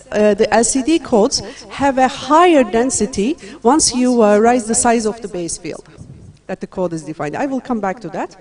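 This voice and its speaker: A woman from Turkey giving a university lecture, talking in a friendly way with many fillers and pauses. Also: a little high, warm tone.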